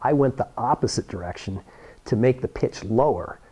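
A middle-aged American man explains a homemade science project like a teacher. His pitch sits in the middle range and moves up and down in a lively way. He is miked at the lapel. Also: moderate pace, breathy edge. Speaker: science demonstrator and host. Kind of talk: explanatory how-to presentation. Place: school workshop or lab room, indoors. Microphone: lapel microphone.

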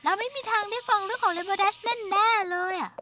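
A woman voicing an impatient, eager little filly pony with a blunt, excitable delivery in a children's cartoon dub. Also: very high voice, fairly quick. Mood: impatient, grumbling. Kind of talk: dubbed children's cartoon acting. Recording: music behind the voice.

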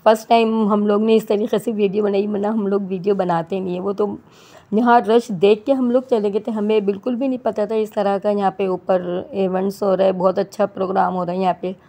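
Woman, Pakistani Urdu accent, casual vlog voice-over, mid pitch, warm and rambling. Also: flat delivery, brisk. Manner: chatty, rambling, with many restarts. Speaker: vlogger.

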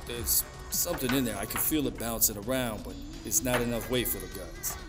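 An actor playing a dim, gullible henchman in an audio drama, heard at a distance under loud music. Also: medium pitch, a little fast, lightly acted. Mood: puzzled. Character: dim, gullible warehouse goon, easily charmed.

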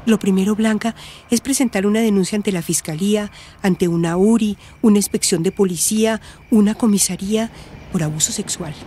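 A woman playing a calm, supportive counsellor in a radio drama, gentle, reassuring and explanatory. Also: medium pitch, a little fast, slight background noise, close to an everyday voice. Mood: practical.

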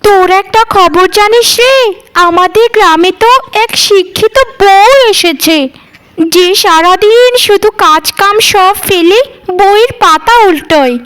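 A woman's voice: extremely high pitch, unhurried, fairly bright tone, a little hiss or hum, poor audio. A woman voicing a catty, mocking gossip of a village wife in a cartoon. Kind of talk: cartoon dialogue.

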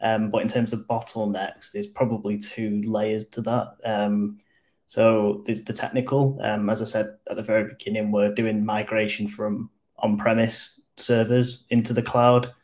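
A man with a lowish voice speaks as a panelist over a video call. His pitch stays flat and even. He talks in a measured way and pauses often on "um". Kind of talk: panel discussion answer.

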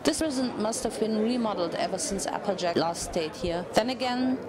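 A woman narrates a story in a dramatic reading style. Her voice sits at a medium pitch, and a crowd murmurs clearly behind her. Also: a little fast. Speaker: narrator of fan fiction.

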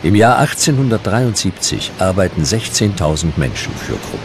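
A man narrating a scripted documentary in a measured, dramatic storytelling manner. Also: deep voice, big pitch swings, background noise.

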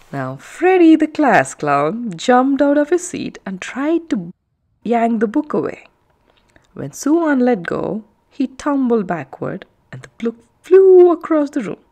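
A woman narrating a children's story aloud, with expressive, emphatic phrasing for young listeners. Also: a little high, gravelly.

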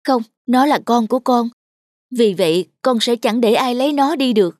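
A woman voicing a devoted young single mother in a cartoon, gentle and determined. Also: fairly high pitch, moderate pace, no echo, clean studio sound, close to an everyday voice. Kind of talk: cartoon dub acting.

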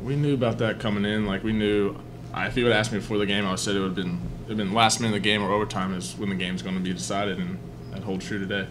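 A young male football player talks in a relaxed way at a press table, full of small fillers. His voice sits low. Noise stays audible in the background. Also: quick pace. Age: young adult. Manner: easygoing, filler-heavy. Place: press room before a sponsor backdrop. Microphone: table mic in front.

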